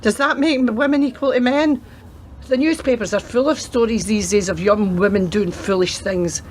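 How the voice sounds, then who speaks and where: A woman in a radio play acting a world-weary, sceptical grandmother who used to be a policewoman, speaking in a dry, measured way. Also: a little high, slight background noise, close to an everyday voice. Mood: weary, reflective.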